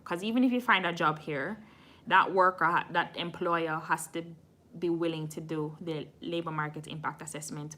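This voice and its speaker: A woman's voice: on the low side, sharp tone. A woman from Jamaica hosting a casual live stream, answering viewer questions frankly and admitting when she doesn't know. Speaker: immigration channel host.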